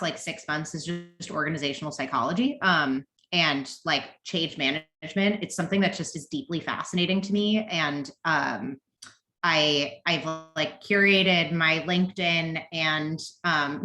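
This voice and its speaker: A woman speaking as a panelist on a video call, quick and wry with many fillers. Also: low voice, bright, forceful tone. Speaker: panelist, senior associate. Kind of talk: casual panel talk. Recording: echoey room on a video call.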